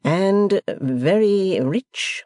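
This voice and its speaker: A narrator voicing a pompous English gentleman who speaks slowly and condescendingly, as if to a deaf child. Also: mid-range voice, expressive, no echo, clean studio sound, pitched above the performer's own voice. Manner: slow, loud, over-explaining as if to a child. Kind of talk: audiobook character voice.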